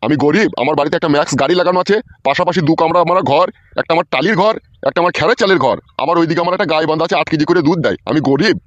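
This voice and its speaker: A man's voice: a little high, very fast, few pauses, dry recording, highs cut off, lightly acted. A man voicing a suspicious, hot-tempered old village husband in a comedy cartoon, ranting coarsely. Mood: furious.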